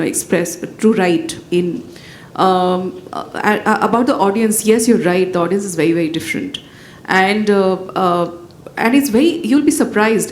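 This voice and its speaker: Thoughtful woman writer in an echoey hall. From India. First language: Bhojpuri. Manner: thoughtful, many fillers and restarts. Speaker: writer on a panel. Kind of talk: unscripted panel answers. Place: event hall with audience. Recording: echoey hall, faint rumble.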